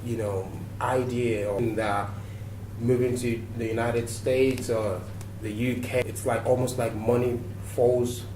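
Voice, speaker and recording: A man from Nigeria speaks as a talk show guest at an even, middle pitch. He talks in a relaxed, reflective way and pads his answers with fillers. The sound is roomy, a little distant from the mic, with faint background hiss. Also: measured pace. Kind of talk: interview answers. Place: TV studio.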